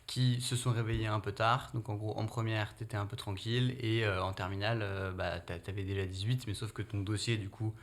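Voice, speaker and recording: A man interviewing a student, asking informal, hesitant questions full of pauses. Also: lowish voice, steady tone. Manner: informal, hesitant, many fillers. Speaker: interviewer for the prep school.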